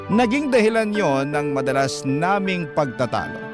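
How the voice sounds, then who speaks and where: A man narrating a love-letter confession for a radio drama, measured and earnest. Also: a little high, sing-song. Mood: troubled. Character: letter writer recounting a troubled live-in romance. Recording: loud music bed behind.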